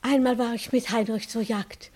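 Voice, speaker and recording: An actress playing a timid, devoted, sentimental widow in a German radio play, plaintive, submissive and hesitant in manner. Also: female voice, a little high, fairly even intonation, moderate pace, darkish tone, husky. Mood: wistful, devoted.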